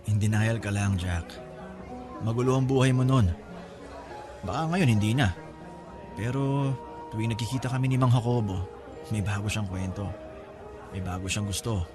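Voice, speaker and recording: A man acts a breezy older brother in a radio drama. His voice is on the low side, casual and frank, with a teasing, talkative manner over clearly audible music. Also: normal speed, long pauses, lightly acted. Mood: teasing, confiding. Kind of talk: Filipino radio-style drama dialogue.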